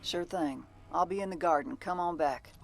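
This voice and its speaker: An actress playing a weary, guarded bounty hunter in a video game, dry and plain-spoken, with a gravelly voice. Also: female voice, fairly low pitch, normal speed, soft background music. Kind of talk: naturalistic video game acting.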